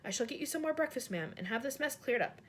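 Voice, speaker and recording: A woman's voice: sits high, lively intonation, fast talker, fairly bright tone. A woman voicing a timid, quivering old maid. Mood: gentle. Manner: hesitant, quivering, polite. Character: older, timid, deferential royal maid.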